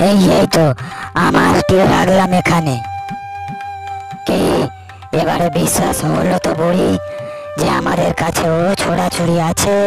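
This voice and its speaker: A man's voice: on the high side, fairly even intonation, a little slow, vocal fry, long pauses, music in the background. A man voicing a blustering, greedy, scheming bandit boss in a cartoon fairy tale, bossy and impatient. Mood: boastful, indignant. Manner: bossy, impatient, repeats words for emphasis.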